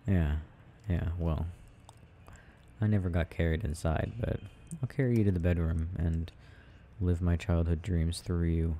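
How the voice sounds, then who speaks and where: A young man playing an affectionate, gently teasing boyfriend in an ASMR audio roleplay, murmuring and rambling as he games. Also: deep voice, fairly even intonation, measured pace, a little hiss or hum. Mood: playful, tender.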